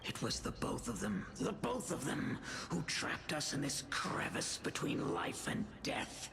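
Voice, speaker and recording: A man playing a bitter, agitated and grandiose trapped character in a dramatic video game, with a gravelly voice. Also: fairly high pitch, wildly swooping pitch, a little slow, sharp tone, slight background noise, exaggerated voice. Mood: angry, anguished.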